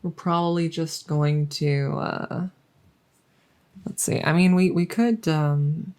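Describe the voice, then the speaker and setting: A woman playing a friendly, chatty dungeon master in a soft-spoken ASMR roleplay, musing and hesitant as she talks intimately to the listener. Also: deep voice, fairly even intonation, takes it slowly. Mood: casual, explanatory. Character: friendly female dungeon master, chatty, teasing, doting cat owner.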